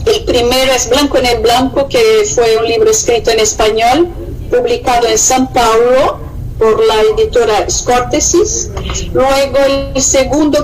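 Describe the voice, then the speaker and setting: Slow-spoken Brazilian woman writer. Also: on the high side, a little hiss or hum, rough recording. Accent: Brazilian Portuguese. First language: Portuguese. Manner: slow and reflective, listing works with many pauses. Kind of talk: interview answers.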